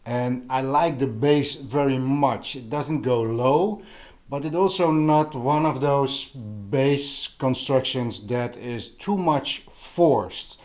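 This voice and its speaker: A man with a non-native English accent talks slowly and casually, like a hobbyist showing gear on his vlog. His voice sits at a middle pitch, and he pauses mid-thought as he goes. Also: a little mellow. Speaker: audio hobbyist vlogger. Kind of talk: casual vlog product chat.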